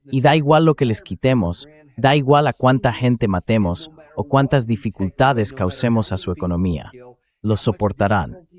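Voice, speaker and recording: A man's voice: medium pitch, normal speed, a little mellow. A man hosting a political commentary show, explaining his points emphatically and addressing viewers directly.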